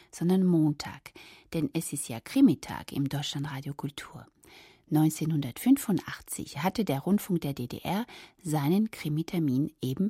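A woman's voice: low voice, warm tone, slightly rough, dry recording, clean studio sound. A woman hosting radio drama with scripted presentation, wry and speaking directly to the listeners. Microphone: close studio microphone.

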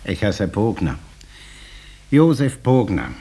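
An actor playing a stubborn, put-upon farmer with dry cheek and a husky voice in a 1950s radio drama. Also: male voice, lowish voice, sing-song, takes it slowly, warm tone, full character voice. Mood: dryly cheeky.

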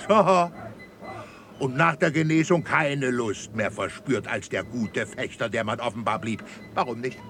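A man's voice: mid-range voice, unhurried, pushed and bright, slight background noise. An actor in a radio drama playing a sneering, authoritarian colonial military commandant who barks and mocks as he interrogates. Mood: sharp interrogation. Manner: barking, mocking, interrogating.

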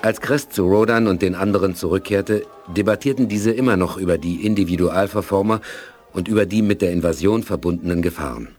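An actor narrating a 1980s space adventure radio drama as a composed storyteller in a scripted style. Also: male voice, low voice, fairly quick, a little mellow, soft background music, close to an everyday voice.

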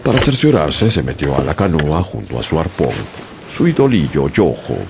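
Sailor-narrator: very deep, measured, radio drama with music. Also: male voice, big pitch swings, noisy background, exaggerated voice. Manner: measured storytelling. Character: young sailor narrating his voyage.

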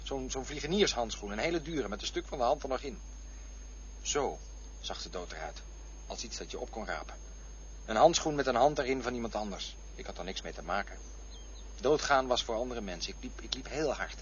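A man's voice: mid-range voice, animated, fairly quick, slight background noise, lightly acted. An actor playing a boastful, defensive man in a radio play, insistent and taunting as he repeats himself. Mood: haunted, defiant. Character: boastful, defensive man arguing over his boyhood memories. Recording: dull lo-fi radio play recording.

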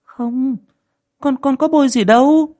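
A man's voice: fairly high pitch, animated, moderate pace, gravelly, slightly rough, no echo, clean studio sound, voice pushed up, heavily acted. A man voicing a timid young wife who murmurs and stammers. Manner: hushed, stammering.

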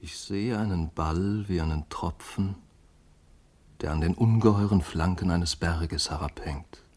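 A dreamy, watchful man with a deep voice. He describes things with lyrical care in a poetic radio play. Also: measured pace, a little mellow. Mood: wondering.

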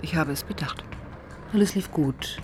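An actress playing a composed, probing police detective who narrates and talks through the case in a measured, matter-of-fact way in a crime radio play. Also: female voice, low-pitched, over music, lightly acted. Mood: businesslike. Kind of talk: German crime radio play, dialogue and first-person narration.

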